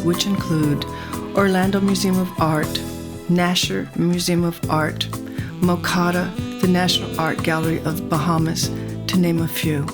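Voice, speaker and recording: A woman with a fairly low voice hosts an art podcast and speaks in a measured, formal way. Music plays clearly under her words. She is recording in an apartment. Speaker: podcast host. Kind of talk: scripted introduction and interview questions. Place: Manhattan apartment.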